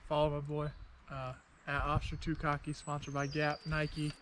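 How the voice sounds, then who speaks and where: Higher-voiced young man joking like a presenter. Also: monotone, moderate pace, very noisy. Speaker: hiking companion. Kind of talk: casual joking chat. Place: outdoors by a roadside. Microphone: handheld action camera.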